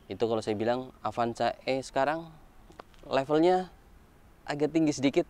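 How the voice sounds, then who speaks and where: A man's voice: mid-range voice, moderate pace, a little mellow. A man giving a casual walkaround car review, explaining things informally point by point.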